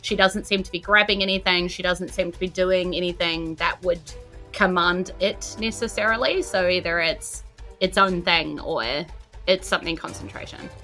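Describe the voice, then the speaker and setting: A woman's voice: fairly low pitch, moderate pace, pushed and bright. A woman narrating a tabletop role-playing game as game master, improvising conversationally. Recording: music behind the voice. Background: background music.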